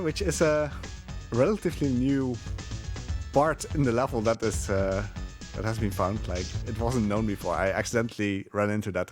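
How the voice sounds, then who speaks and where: Lowish guy commentating his game run, halting n rambly, clear game music behind him. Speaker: speedrunner commentating on own run. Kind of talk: casual live game commentary.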